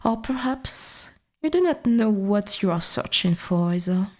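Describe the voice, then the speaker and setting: A woman voicing an ancient, dominant forest god in an ASMR roleplay, speaking in formal, archaic wording with a breathy half-whisper and quiet menace. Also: mid-range voice, lively intonation, unhurried. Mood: condescending, veiled threat.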